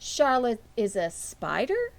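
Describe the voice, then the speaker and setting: A woman voicing a worried, gently questioning farm mother in a children's storybook, with a concerned manner. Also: on the high side, wildly swooping pitch, slow, vocal fry, voice pushed up, heavily acted. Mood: puzzled.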